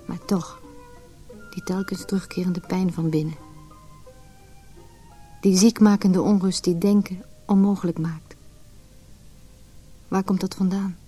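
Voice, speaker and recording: A woman's voice: fairly low pitch, steady tone, unhurried, pauses often, warm tone, breathy edge, soft background music. An actress playing a vulnerable, self-questioning woman nearing forty in a reflective radio drama monologue. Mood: pained, reflective. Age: late 30s.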